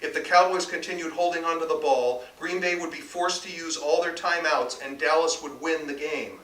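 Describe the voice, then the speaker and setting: Bright-voiced man reading from afar. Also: on the high side, moderate pace. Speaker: author reading own work. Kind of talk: live literary reading, scripted narration. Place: bookstore reading event before an audience. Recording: distant mic, echoey room, faint noise.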